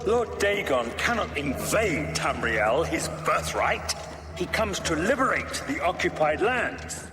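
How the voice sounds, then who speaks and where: A slow, grandiose cult leader in reverb. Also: male voice, a little high, big pitch swings, background noise. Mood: scornful, preaching. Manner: lofty, oratorical, declamatory. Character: male cult leader, grandiose, zealous prophet and villain. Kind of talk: theatrical fantasy game monologue. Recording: echoey long reverb, music behind.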